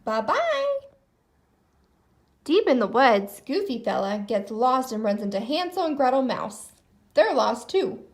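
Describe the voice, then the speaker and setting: A woman narrates a children's storybook with plenty of expression. Her voice is high and her intonation is very lively, shaping each sentence for young listeners. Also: normal speed. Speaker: storybook reader.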